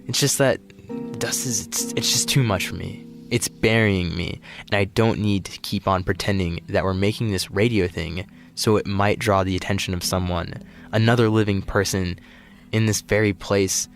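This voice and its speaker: An actor playing a weary, despairing space flight attendant in an audio drama, speaking in a halting, dejected, grumbling way. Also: male voice, low-pitched, steady tone. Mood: despairing, fed up. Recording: music behind the voice.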